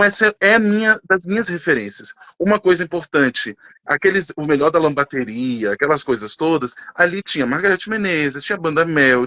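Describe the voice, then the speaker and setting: Expressive Brazilian man in his thirties. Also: fairly high pitch, dry recording. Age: 30s. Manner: emotional, expressive, sings snatches of songs. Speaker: panel guest. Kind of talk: casual conversation.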